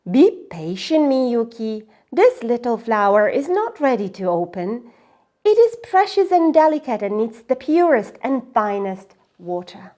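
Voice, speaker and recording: A woman playing a patient, wise grandfather in a children's storybook, measured, emphatic and firm. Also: on the high side, very animated, dark tone. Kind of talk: children's storybook read-aloud.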